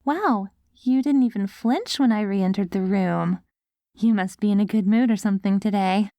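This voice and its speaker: A woman playing an affectionate post-apocalyptic wife in an ASMR audio roleplay, coaxing and gently praising her ghoul husband. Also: mid-range voice, normal speed, dry recording, natural voice. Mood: gentle, coaxing.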